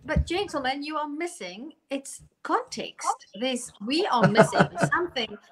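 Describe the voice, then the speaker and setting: A woman's voice: sits high, expressive, unhurried, crowd noise, poor audio. A middle-aged woman with a South African accent, speaking off the cuff as a panelist on a video call. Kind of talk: unscripted panel discussion. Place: home room on a video call.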